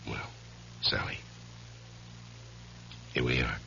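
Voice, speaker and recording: An actor playing a troubled, ambitious lawyer in his forties, measured and hesitant, in an old-time radio mystery. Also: pushed and bright, a little hiss or hum. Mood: subdued, hesitant. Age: 40s. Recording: dull vintage radio recording.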